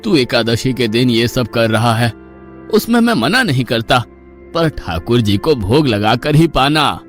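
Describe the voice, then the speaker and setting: A man voicing a slow, strict old guru. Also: mid-range voice, sing-song, soft background music. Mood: admonishing. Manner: slow, admonishing, fatherly. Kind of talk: Hindi cartoon story dubbing.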